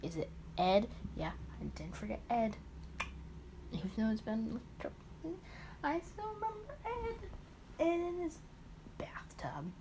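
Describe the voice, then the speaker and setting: A woman's voice: on the high side, sing-song, unhurried, pauses often. Casual rambling woman gamer, faint background noise. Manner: rambling asides, talking to herself. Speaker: host of a gaming let's play series. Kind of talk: casual let's play, chatting and reading visual novel dialogue aloud.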